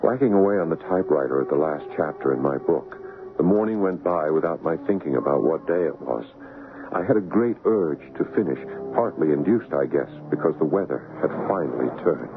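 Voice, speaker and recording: Low-voiced American husband recounting dread. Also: male voice, animated, over music. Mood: somber recounting. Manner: measured storytelling with hesitant, solicitous dialogue. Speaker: actor narrating and playing the husband. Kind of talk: 1970s radio drama acting, first-person narration. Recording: noisy, phone-like 1970s radio broadcast.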